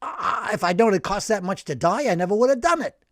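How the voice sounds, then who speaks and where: A man voicing a brash, excitable older working-class man who tells his story in animated slang. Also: sits high, brisk, no room sound, clean studio sound, pitched above the performer's own voice. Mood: indignant.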